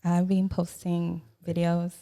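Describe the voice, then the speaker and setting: Frank Salvadoran woman with a gravelly low voice. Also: monotone, slow, dark tone. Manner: open, frank storytelling with frequent "um" and "you know". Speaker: guest, loan officer. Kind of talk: candid podcast conversation.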